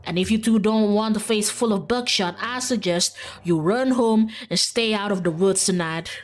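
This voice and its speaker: A woman's voice: medium pitch, normal speed, soft background music, lightly acted. Woman doing a stubborn old Southern hunter man. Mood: threatening. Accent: Southern US drawl. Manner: folksy, grumbling, threatening.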